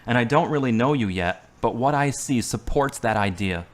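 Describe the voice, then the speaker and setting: A man playing a wounded, guarded young man who speaks in a flat, terse way. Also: medium pitch, normal speed, natural voice.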